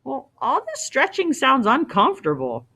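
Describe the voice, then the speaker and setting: A woman's voice: high-pitched, wildly swooping pitch, moderate pace, bright, forceful tone, pitched above the performer's own voice, heavily acted. A woman voicing a bold, defiant young Greek hero prince with a plucky, impetuous manner in a storybook. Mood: wary, feigned politeness.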